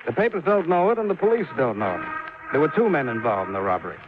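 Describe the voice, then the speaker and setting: An actor playing a serious, guarded chief insurance adjuster in a 1950s radio drama, speaking gravely and deliberately. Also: male voice, a little high, big pitch swings, over music. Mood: guarded, earnest. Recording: dull, noisy 1950s radio recording.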